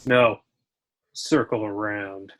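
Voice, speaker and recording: A man's voice: fairly low pitch, steady tone, slow, a bit bright. A man playing a resolute action hero under pressure in an amateur screenplay table read. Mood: wistful, insistent.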